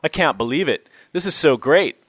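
A man voicing an excitable, fidgety young jail inmate in an audiobook, gushing like a starstruck fan in slangy dude talk. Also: medium pitch, normal speed, husky, dry recording, studio quality. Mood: starstruck, excited.

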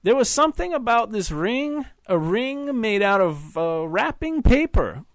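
Man putting on a drunk Scandinavian guy, slurred speech, loud, high and swooping, rambling, jealous. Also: big pitch swings, a little slow, dry recording, studio quality, full character voice. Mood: drunk, indignant.

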